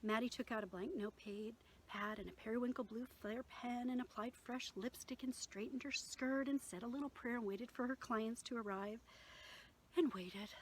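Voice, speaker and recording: A woman reading her own novel aloud in an expressive storytelling manner, with occasional small stumbles. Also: on the high side, moderate pace, a little hiss or hum, poor audio.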